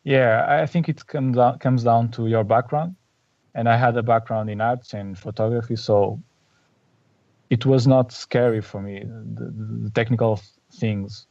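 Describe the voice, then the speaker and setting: A man's voice: medium pitch, fairly even intonation, vocal fry, a little mellow, distant mic, muffled recording. A man from Portugal with a Portuguese accent, a photographer guest who often hunts for words and restarts his sentences. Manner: searching for words, halting restarts. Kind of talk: casual podcast interview.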